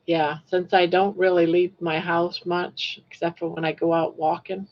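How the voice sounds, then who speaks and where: An older woman from Maine with a southern Maine accent, hosting a casual livestream chat while reading viewer comments in a rambling, self-correcting way with soft trailing endings. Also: on the low side, level tone, normal speed, dull audio. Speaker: livestream host.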